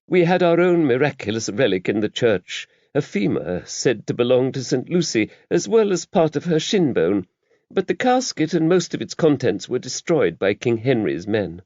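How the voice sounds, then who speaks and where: A man's voice: mid-range voice, very animated, moderate pace, a little mellow, no room sound, muffled recording, voice pushed up. A man voicing a demure, earnest librarian nun with prim, scholarly speech in an audiobook. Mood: earnest, explanatory. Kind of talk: audiobook character dialogue.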